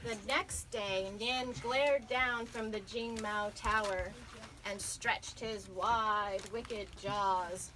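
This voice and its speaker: A woman's voice: mid-range voice, fairly even intonation, very slow, bright, forceful tone. A woman reading a picture book aloud to children at a live event, dramatically stretching out key words. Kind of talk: animated picture-book storytelling to an audience. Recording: noisy live reading with audience sounds.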